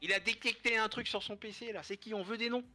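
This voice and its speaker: Stuffy-nosed caster, bright tone. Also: male voice, high-pitched, fairly even intonation, fast talker, faint music behind. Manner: joking, rambling casual commentary. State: stuffy nose, hoarse from a cold. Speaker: esports caster. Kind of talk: live esports commentary.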